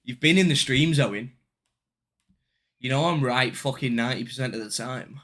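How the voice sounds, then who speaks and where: A young man on a casual live stream, talking in slang, swearing and joking with his viewers. His voice sits at an ordinary middle pitch with a bright, forceful edge. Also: moderate pace. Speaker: day trading livestreamer.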